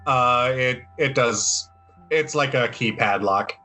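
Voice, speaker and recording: A man's voice: mid-range voice, slow pace, sharp tone. Man narrating a game, music behind. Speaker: game master and podcast host. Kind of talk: casual tabletop game narration.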